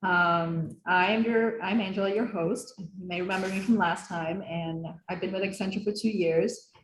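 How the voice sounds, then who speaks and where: A woman's voice: mid-range voice, steady tone, normal speed, roomy sound, a little hiss or hum, rough recording. A young woman from the Philadelphia area hosting an online workshop on a video call, talking casually with many fillers. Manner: casual, friendly, with frequent fillers. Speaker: host.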